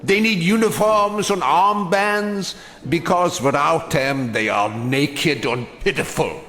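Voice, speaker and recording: An actor playing a weary, anguished older German immigrant in a radio drama, speaking with bitter, emphatic rhetoric. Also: male voice, a little high, fairly bright tone. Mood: scornful, defiant. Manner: slow, emphatic, bitterly rhetorical with repeated phrases. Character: weary old German immigrant man, anguished, defiant, scornful of fascists. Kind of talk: theatrical radio drama acting.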